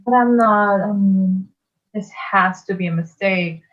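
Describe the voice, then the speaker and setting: A woman playing a bewildered boy newcomer, halting and incredulous, in a theatre table read. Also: mid-range voice, close to an everyday voice. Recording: dull lo-fi microphone.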